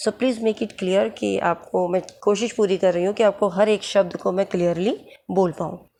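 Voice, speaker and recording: A woman with a hoarse throat and an Indian English accent, teaching English literature for exams and explaining each word slowly. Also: medium pitch, fairly even intonation, mellow, slight background noise. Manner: slow, explaining word by word, checks understanding. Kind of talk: exam-prep lecture in mixed Hindi and English.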